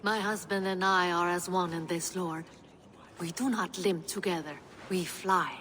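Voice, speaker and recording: A proud, low-voiced Norse noblewoman. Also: fairly even intonation, unhurried, fairly bright tone. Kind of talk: dramatic scripted game acting. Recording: reverberant hall with faint noise.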